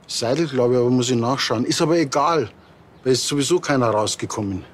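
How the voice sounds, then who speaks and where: A man's voice: mid-range voice, fairly quick, lightly acted. An actor playing a blunt, insistent male taxi driver in a TV drama. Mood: matter-of-fact.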